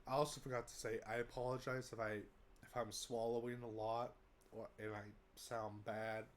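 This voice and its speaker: A man's voice: medium pitch, level tone, moderate pace, a little hiss or hum. A young American man with a cold, sounding congested, giving a casual rambling update to his viewers with lots of fillers and restarts. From United States. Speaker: vlogger. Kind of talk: casual update talk to camera.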